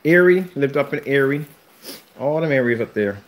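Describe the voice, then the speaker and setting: A man's voice: mid-range voice, expressive, normal speed, poor audio. A man, chatting casually to viewers in his home theater room and repeating himself as he rambles. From Philadelphia. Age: 50s. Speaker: screen paint vlogger. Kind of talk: casual chat to viewers while livestreaming.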